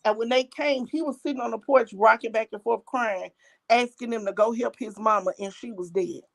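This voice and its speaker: An older woman calling in to a show, talking bluntly and excitedly in casual slang. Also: a little high, moderate pace, gravelly. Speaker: caller. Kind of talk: casual phone-in chat.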